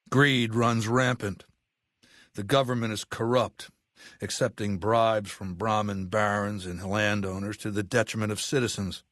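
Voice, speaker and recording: A man playing a ruthless, commanding warlord dictator in a video game, menacing and condescending, speaking deliberately. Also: on the low side, a bit bright, no echo, studio quality, close to an everyday voice. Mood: lecturing disdain. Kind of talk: video game character voice acting.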